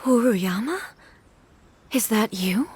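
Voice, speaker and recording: Regal, higher-pitched shogun. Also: female voice, animated, a little mellow, soft background music. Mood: startled. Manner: measured, dignified, formal. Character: regal female shogun, composed, solemn and formal. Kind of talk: video game character dialogue.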